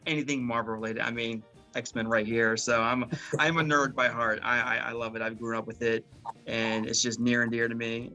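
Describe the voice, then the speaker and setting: A man's voice: mid-range voice, pushed and bright. A man, a guest chatting casually with many fillers and restarts. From Maryland, USA. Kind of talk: casual interview chat.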